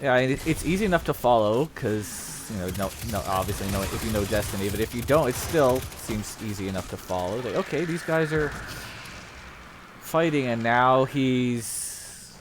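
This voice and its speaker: A man's voice: mid-range voice, normal speed, noisy background. A man chatting casually and informally, reacting to a story and often repeating words as he goes. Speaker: guest listener giving reactions. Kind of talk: casual chat.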